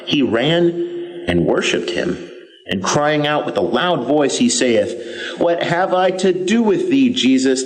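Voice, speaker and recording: A man reading scripture aloud in a measured, steady voice. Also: medium pitch, rough recording. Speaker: scripture reader.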